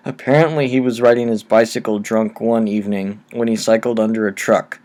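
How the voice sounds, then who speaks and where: A man reading first-person horror stories aloud in a steady, low-key storytelling manner, recorded on a cheap microphone. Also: fairly quick. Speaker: narrator.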